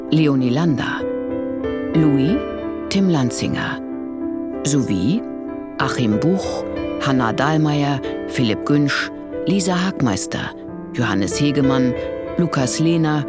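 Very deep-voiced, slow woman announcer. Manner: measured, neutral. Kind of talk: scripted radio credits announcement.

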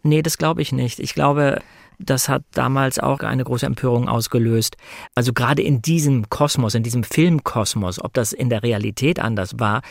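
A man's voice: medium pitch, normal speed, vocal fry, no echo, studio quality. A man talking reflectively and conversationally about film and novels, explaining his analysis as a guest in a discussion.

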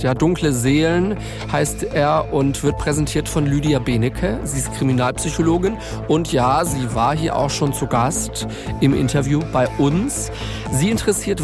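A young man speaking as a podcast co-host, informal and slangy, acting out his own thoughts as he talks. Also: fairly high pitch. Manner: animated retelling with self-quotes and slang. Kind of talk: casual banter between co-hosts.

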